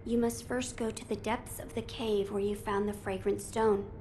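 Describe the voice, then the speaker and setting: A woman's voice: medium pitch, little pitch movement, dark tone, close to an everyday voice. Woman voicing a solemn young noble boy, ambient noise. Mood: solemn, resolute. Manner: measured, formal, earnest. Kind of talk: scripted video game dialogue.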